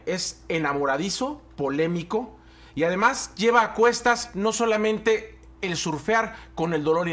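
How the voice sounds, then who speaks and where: A man in his thirties with a distinctly high-pitched voice for a male speaker. He talks as a television interviewer, in a conversational hosting style. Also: a bit bright. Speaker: interviewer, host. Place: indoor studio set.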